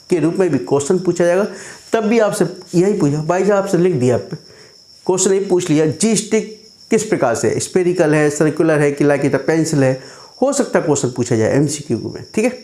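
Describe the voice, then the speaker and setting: Hoarse male teacher with a lively voice. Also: high voice, reverberant. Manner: asks students questions and answers them himself, addresses them as "beta"; reads English definitions slowly, then explains them in Hindi. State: dry, hoarse throat. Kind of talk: classroom lecture for exam students.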